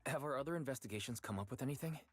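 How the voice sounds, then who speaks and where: Calm, methodical team coordinator. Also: male voice, mid-range voice, slightly rough. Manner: measured and matter-of-fact. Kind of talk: video game voice acting. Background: game music.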